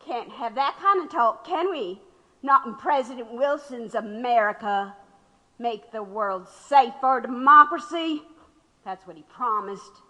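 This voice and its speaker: A woman's voice: a little high, expressive, measured pace, bright, forceful tone. An actress playing a defiant, sarcastic woman activist in her sixties in a radio drama. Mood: angry, defiant. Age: 60s. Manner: sarcastic, mocking, oratorical. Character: defiant, sharp-tongued 66-year-old woman activist under arrest. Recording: reverberant hall-like room.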